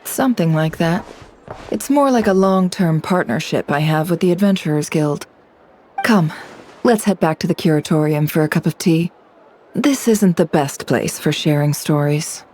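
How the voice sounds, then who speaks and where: Woman playing a poised, low-pitched intelligence broker. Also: normal speed, a little mellow, slight background noise, natural voice. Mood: knowing. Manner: composed, knowing, smooth. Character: poised, shrewd young woman running an intelligence business. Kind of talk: English video game voice acting.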